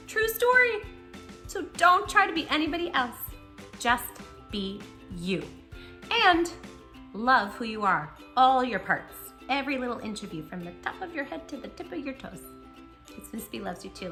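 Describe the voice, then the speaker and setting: A woman's voice: medium pitch, sharp tone. A woman hosting a read-aloud for young children, speaking playfully and directly to the child listening, with emphatic storytelling delivery.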